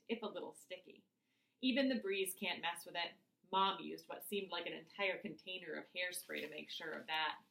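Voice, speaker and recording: A woman reading a children's novel aloud as its author, with expressive first-person narration. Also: fairly low pitch. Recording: echoey room.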